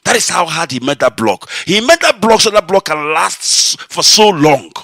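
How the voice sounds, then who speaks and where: A man, an Igbo speaker, telling a personal story on a live broadcast in an animated, emotional way. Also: normal speed, creaky, no room sound, clean studio sound. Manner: animated, emotional, swinging high when excited. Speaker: radio broadcast host.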